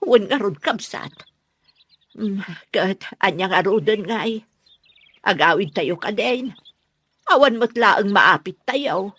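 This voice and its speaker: Aggrieved village woman, radio drama, female actor: earnest, plaintive, rough-edged voice, dry sound. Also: mid-range voice, lively intonation, slow pace, long pauses, a bit bright, dry recording, exaggerated voice. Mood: dismayed. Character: worried, aggrieved village woman farming with her family. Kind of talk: radio drama acting in Ilocano.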